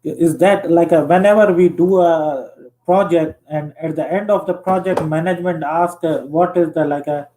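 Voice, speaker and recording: A man's voice: fairly high pitch, steady tone, moderate pace, dark tone, rough recording. A man in a casual conversation who talks hesitantly and leans on fillers.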